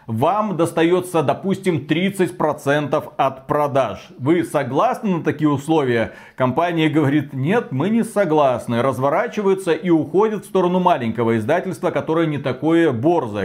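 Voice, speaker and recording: A man's voice: a little high, a little mellow. A man co-hosting a gaming news show, explaining things conversationally and wryly acting out imagined dialogue.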